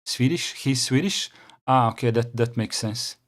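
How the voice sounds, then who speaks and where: A man's voice: medium pitch, a little slow. Hesitant man with a Croatian accent. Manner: hesitant, many fillers and restarts. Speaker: channel host reacting to a video. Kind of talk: casual reaction commentary.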